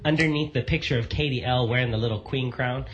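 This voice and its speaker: A man's voice: medium pitch, quick pace. Man co-hosting a lo-fi radio chat. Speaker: radio show co-host.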